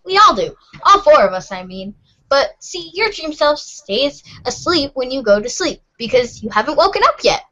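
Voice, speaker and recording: A woman's voice: high-pitched, sing-song, moderate pace, bright, forceful tone, dull audio, exaggerated voice. A woman voicing an earnest, cryptic, friendly teenage girl who explains things casually in an amateur readers' theater. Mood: patient, matter-of-fact.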